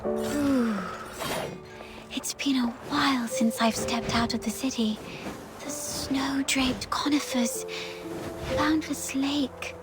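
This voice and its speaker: A woman voicing a gentle, earnest young woman who guides others in a video game, speaking softly and a little hesitantly. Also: high-pitched. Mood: wistful, hushed. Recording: loud game music behind.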